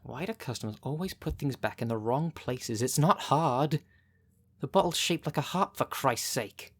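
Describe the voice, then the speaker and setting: Casting: performer as sardonic female witch running a potion shop, mid-low androgynous pitch, matter-of-fact and bossy, ASMR roleplay delivery. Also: animated, normal speed, slightly rough. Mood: irritated. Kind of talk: ASMR roleplay, speaking directly to the listener.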